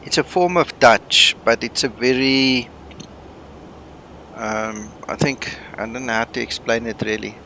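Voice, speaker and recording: Slow, bright-voiced man. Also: medium pitch, vocal fry, a little hiss or hum. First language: Afrikaans. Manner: relaxed, thinking aloud. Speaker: flight sim streamer. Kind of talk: casual chat with live viewers.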